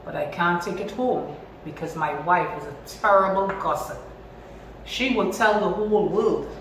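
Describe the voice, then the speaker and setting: A woman's voice: fairly low pitch, moderate pace, lightly acted. A woman with a Trinidadian accent voicing a crafty, cheerful old village husband in a storybook, theatrically and slyly. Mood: secretly scheming. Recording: echoey room, distant mic, faint noise.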